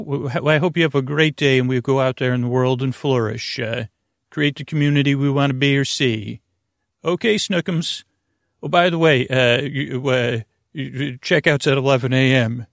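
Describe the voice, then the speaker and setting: A doting, sugary spouse acted out by a man murmurs affectionately over breakfast. The delivery is halting and sweet, with a creaky edge. It is a dry, close-sounding podcast skit. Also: medium pitch, no echo, natural voice.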